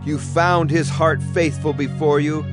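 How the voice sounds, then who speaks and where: An actor playing a devout Levite praying aloud to God, solemn and declamatory, in a dramatized scripture reading. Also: male voice, a little high, steady tone, a little slow, music in the background, lightly acted. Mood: solemn reverence.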